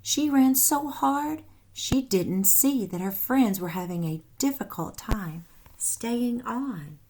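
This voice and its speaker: A woman teacher reading a storybook aloud to young children in a deliberate, instructive way with animated emphasis. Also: on the high side, low-quality recording. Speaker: first grade teacher.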